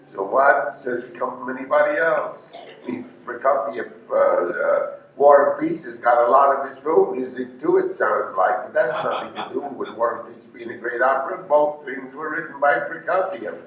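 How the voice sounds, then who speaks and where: A man's voice: medium pitch, lively intonation, moderate pace, a bit bright, muffled recording. A man talks in an unscripted discussion on an old archival tape. He sounds far from the microphone in a very echoey room, with faint noise. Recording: very echoey, distant, noisy archival room recording.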